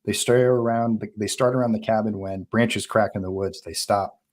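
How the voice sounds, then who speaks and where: A man with a low voice reads stage directions for a script table read. He delivers them as narration, in short, terse phrases that set each scene. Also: steady tone, moderate pace, darkish tone.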